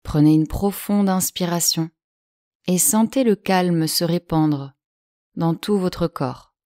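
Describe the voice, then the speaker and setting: A woman with a slightly low voice leads a guided yoga nidra relaxation. She speaks slowly and soothingly, leaving long pauses between instructions. The recording is dry and close, with no room sound. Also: no echo. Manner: slow, calm, soothing delivery with long pauses.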